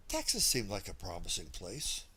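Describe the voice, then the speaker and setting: A man's voice: deep voice, wildly swooping pitch, normal speed, pushed and bright, breathy edge. A man narrating a first-person memoir as an audiobook reader, telling the story from a script.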